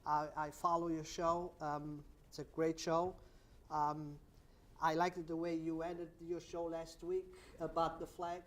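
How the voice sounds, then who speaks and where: Soft-spoken Portuguese-accented male guest. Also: a little high, little pitch movement, measured pace. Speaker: guest, neighborhood association leader. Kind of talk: talk show interview.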